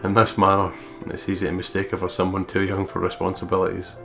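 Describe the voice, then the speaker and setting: A man reads game narration and comments casually. His voice is very low, with a gravelly fry and a flat pitch range. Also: fast talker. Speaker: let's play co-host. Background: game music.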